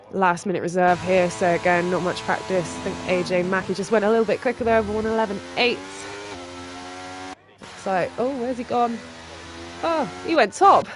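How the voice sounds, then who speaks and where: A live sim racing commentator with a stuffy cold, reacting quickly and excitedly to lap times. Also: medium pitch, a little hiss or hum. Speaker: race commentator.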